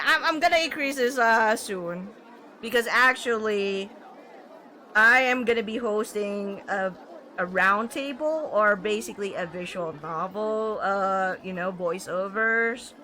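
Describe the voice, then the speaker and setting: A woman live streaming a visual novel, reading text aloud and chatting expressively. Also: a little high. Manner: expressive, reacts with frequent laughter and exclamations. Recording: noisy stream with game sound behind. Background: game audio and ambience.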